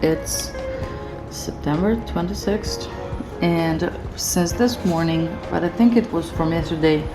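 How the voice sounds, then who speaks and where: Low-voiced woman vlogging in an echoey studio. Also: slow, music in the background. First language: Serbian. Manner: reflective, hesitant, many fillers, laughs softly. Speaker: illustrator vlogger. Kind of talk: casual studio vlog. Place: studio room indoors.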